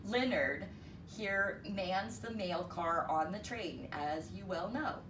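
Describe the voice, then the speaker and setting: A woman's voice: fairly low pitch, takes it slowly. A woman voicing a plain-spoken, thrifty rural father in a storybook, folksy and matter-of-fact. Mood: folksy, persuasive. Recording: roomy, distant mic, noisy.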